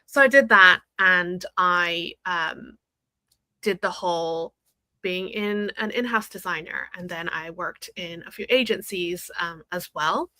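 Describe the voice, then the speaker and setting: A woman's voice: lowish voice, unhurried, sharp tone, no room sound, poor audio. A woman in her thirties from Hong Kong, a brand designer chatting as a guest on a live video call, laughing often. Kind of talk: casual interview chat.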